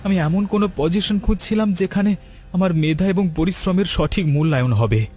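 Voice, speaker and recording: A young man's somewhat high, mellow voice from a male actor plays an anxious, lovesick cartoon hero. He speaks earnestly and emotionally, as in a melodrama. Faint music sits underneath. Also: brisk, lightly acted. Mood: determined. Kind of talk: dramatic cartoon voice acting.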